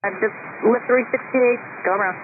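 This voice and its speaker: An air traffic controller giving clipped, sometimes halting instructions over an aviation radio. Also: medium pitch, narrow, tinny audio. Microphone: radio transmission. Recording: noisy band-limited air traffic radio.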